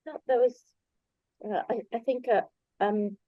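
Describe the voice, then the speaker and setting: A middle-aged or older native Bengali-speaking woman giving a reflective, conversational talk, pausing and feeling her way through her thoughts. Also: a little high, fairly even intonation, no room sound, highs cut off. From Bangladesh in early childhood, then moved abroad. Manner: hesitant, thoughtful, halting phrasing. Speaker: invited speaker at a book launch.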